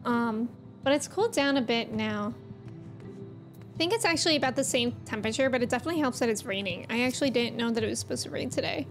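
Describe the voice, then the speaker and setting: A woman with a Midwestern American accent chatting casually and excitably with viewers as she streams a game. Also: a little high, quick pace, over music. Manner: chatty, excitable, laughs easily. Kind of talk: casual livestream chat with viewers.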